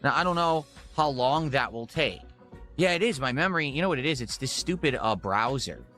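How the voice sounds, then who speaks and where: A man's voice: mid-range voice, lively intonation, fairly quick, bright, forceful tone, faint music behind. A man chatting casually to his viewers on a gaming livestream in a rambling, audience-focused way.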